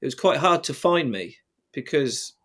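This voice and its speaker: A man's voice: on the high side, big pitch swings, normal speed, a bit bright. Casual British man telling anecdotes. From Britain. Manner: informal, self-deprecating anecdote telling. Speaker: podcast guest, professional mountain biker. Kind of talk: casual interview chat.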